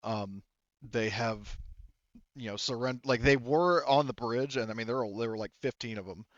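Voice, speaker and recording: Guy running a tabletop rpg, hesitant rambly narration, average pitch, kinda muffled dry audio. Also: a bit bright, no echo. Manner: halting, hesitant, rambling phrasing. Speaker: game master. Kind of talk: improvised tabletop game narration.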